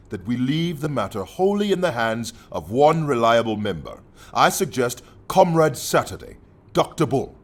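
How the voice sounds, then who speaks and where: An actor playing an imposing, sardonic anarchist leader in a radio play, speaking with pompous condescension. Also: male voice, mid-range voice. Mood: grand, ominous.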